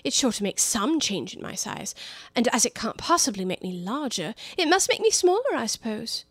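A woman's voice: a little high, sing-song, moderate pace, breathy edge, no echo, full character voice. A woman voicing a curious, determined young girl talking to herself. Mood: musing.

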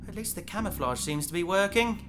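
A man's voice: sits high, animated, brisk. A man playing a cocky, bookish human adventurer with a wisecracking, self-assured manner in a sci-fi audio drama. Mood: focused. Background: loud dramatic music.

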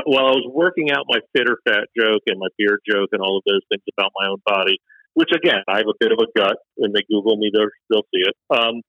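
A man's voice: lowish voice, no room sound. A man, a stand-up comedian, chatting casually in a rambling, self-deprecating way with lots of fillers and restarts. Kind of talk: casual conversation. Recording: dull, dry, lo-fi sound.

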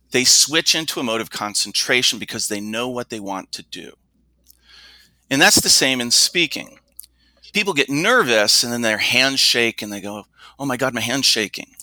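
A man working as a speaking coach, answering interview questions in an explanatory way and slowing down to stress his points. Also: mid-range voice, pushed and bright, dry recording, low-quality recording.

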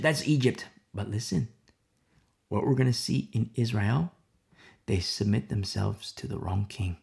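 A man preaching through a Bible passage with a gravelly, fry-edged voice. He talks in a rhetorical way, keeps asking his listeners "you see?", and sometimes sinks into quiet, hushed asides. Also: medium pitch. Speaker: Bible teacher. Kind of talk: verse-by-verse sermon teaching.

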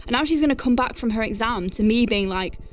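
A woman's voice: fairly high pitch, quick pace, natural voice. A woman playing an anxious but wry medic piloting a craft in a science fiction audio drama, thinking aloud in technical terms. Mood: wry, nervous.

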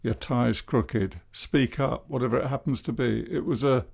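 A man, speaking as a hypnotherapist and public speaker in a measured, self-deprecating monologue about his flat voice. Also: mid-range voice, gravelly. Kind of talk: reflective monologue.

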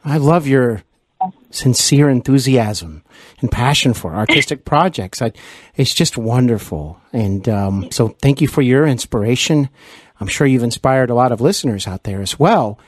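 A man hosting a podcast interview in a casual, complimentary way, with halting phrasing. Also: mid-range voice, expressive, no echo, studio quality.

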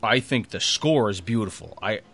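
A man's voice: fairly low pitch, expressive, moderate pace, a bit bright. A man co-hosting a podcast, chatting casually, agreeing eagerly and rambling a little. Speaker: podcast co-host. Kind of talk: casual chat.

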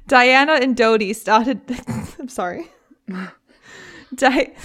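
Chatty British woman telling a story. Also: on the high side. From Britain. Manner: chatty, animated, frequent laughs and asides. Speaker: podcast host. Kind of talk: casual storytelling chat.

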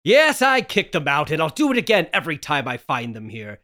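A man performs a wronged husband in an old-time radio horror melodrama. He is desperate and hysterical, with a theatrical, stammering delivery and pitch that leaps up and down. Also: wildly swooping pitch, fairly quick, bright, forceful tone, heavily acted. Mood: angry. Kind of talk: 1930s radio horror melodrama table read.

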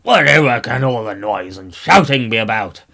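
A man's voice: medium pitch, animated, normal speed, vocal fry, pushed and bright. A man voicing a suspicious, worried king in a storybook tale. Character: bleary, suspicious male king roused in bed.

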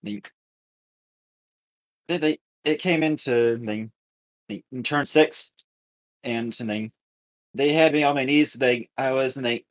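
A man co-hosting a podcast, telling a story casually with halting, repetitive, circling phrasing. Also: medium pitch, rough recording. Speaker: podcast co-host.

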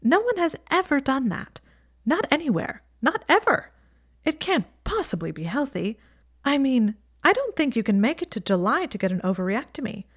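A woman's voice: high voice, very animated, moderate pace, husky, pitched above the performer's own voice, full character voice. A woman voicing a chatty, teasing young witch girl who speaks in a lofty, blunt way. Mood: earnest.

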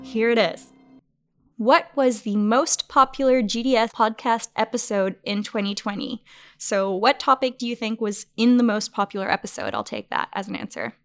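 A woman's voice: on the high side, expressive, normal speed, faint music behind. A woman hosting a work podcast, running a playful quiz for her colleagues in an upbeat manner. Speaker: podcast host.

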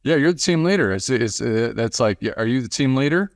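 A man hosting a casual sports podcast interview, speaking haltingly and often repeating words as he restarts. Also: medium pitch, animated, no echo, studio quality.

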